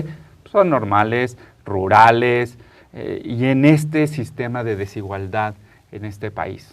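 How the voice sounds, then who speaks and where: A Mexican man works as a talk show host in a studio. He speaks in a conversational, halting way, often pausing to search for the next word. His pitch sits in an ordinary middle range. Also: darkish tone. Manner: hesitant, halting, searching for words.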